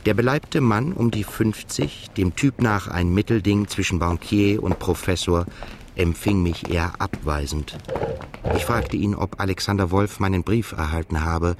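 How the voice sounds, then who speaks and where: An actor playing a brooding, restrained émigré journalist who narrates and asks questions in a German radio drama. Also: male voice, sits low, normal speed, background noise. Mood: brooding recollection.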